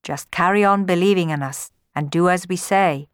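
A woman's voice: on the low side, normal speed, clear, resonant tone, no echo, natural voice. A woman puts on a kindly god of love from a mythical storybook. He is cheerful and playful but speaks with command, in a dry recording. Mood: amused.

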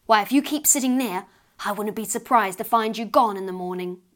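A woman voicing a cross, weary mother who scolds her child in a sharp, stiff voice. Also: mid-range voice, brisk, natural voice. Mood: scolding.

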